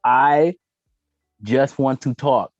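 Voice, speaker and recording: A man co-hosting a casual gaming chat, expressive and slangy, often acting out quotes as he talks. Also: medium pitch, drawn-out words, no echo, lo-fi sound, faint music behind. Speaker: co-host of a gaming talk show.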